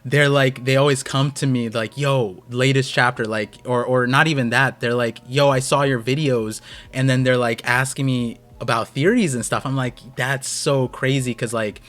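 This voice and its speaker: A man's voice: mid-range voice, fairly even intonation, normal speed, few pauses, a bit bright, faint music behind. A man hosting a stream, talking casually and rambling with lots of restarts. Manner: rambling, many fillers and restarts. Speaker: host.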